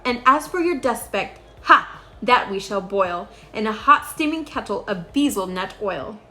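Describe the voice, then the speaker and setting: A woman's voice: medium pitch, lively intonation, unhurried, bright, forceful tone. A woman reading a children's storybook as a big bossy female kangaroo, haughty and scolding. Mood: threatening. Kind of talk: children's storybook read-aloud.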